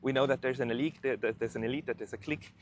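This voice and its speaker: A man's voice: mid-range voice, fast talker. Hesitant man over faint background noise. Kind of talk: off-the-cuff political commentary.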